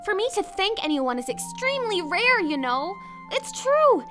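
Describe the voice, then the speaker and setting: A woman's voice: extremely high pitch, sing-song, normal speed. A woman voicing a sulky, proud teenage schoolgirl in a wheelchair for a fan dub, pouting and defensive. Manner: pouty and defensive, stubborn. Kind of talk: fan dub of a visual novel. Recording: music playing underneath.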